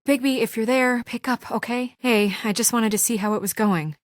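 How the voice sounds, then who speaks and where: A woman's voice: on the high side, normal speed, dry recording, clean studio sound, close to an everyday voice. An actress playing a young, no-nonsense fairy-tale administrator woman in a video game, speaking in a businesslike, urgent and matter-of-fact way. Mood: anxious, hurried. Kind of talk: naturalistic video game voice acting.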